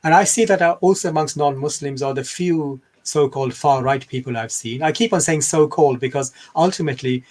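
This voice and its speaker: A man's voice: fairly high pitch, brisk, few pauses, a little mellow. A man from Bangladesh in his fifties, a scholar and podcast guest, telling his life story in a thoughtful, rambling way full of digressions. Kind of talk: reflective interview storytelling.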